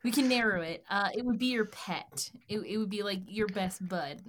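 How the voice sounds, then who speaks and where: A woman hosting a podcast in casual chat, teasing the guests and asking quick questions. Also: mid-range voice, a bit bright, a little hiss or hum.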